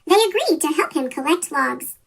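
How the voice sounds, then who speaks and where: A children's story reader with a pitch-shifted chipmunk voice, reading a picture book aloud from a script. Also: big pitch swings, normal speed, creaky, a bit bright. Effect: sped-up chipmunk pitch shift.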